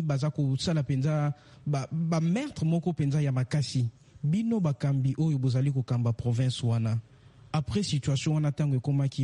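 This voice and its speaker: Mellow, higher-pitched male interviewer. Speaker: radio journalist, interviewer. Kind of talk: news interview questioning.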